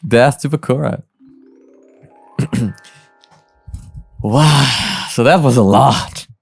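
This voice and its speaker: A man's voice: mid-range voice, very slow, gravelly, breathy edge, no echo, faint music behind. A man giving casual commentary as the host of a reaction video.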